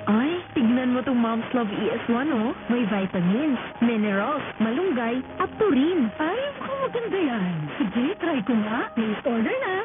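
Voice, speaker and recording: A woman's voice: fairly high pitch, very animated, a little slow, few pauses. A woman acting a chatty friend keen on shopping in a radio commercial dialogue. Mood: playful, eager. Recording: tinny narrow-band audio, loud background noise.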